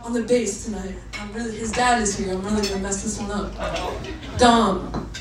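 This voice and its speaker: A woman in her twenties from Massachusetts, a saxophonist bandleader chatting excitedly with a club crowd between songs, laughing often. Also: mid-range voice, moderate pace. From Massachusetts, USA. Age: 20s. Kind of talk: live stage banter between songs. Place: live music club on stage. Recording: echoey club, distant mic, loud crowd and noise.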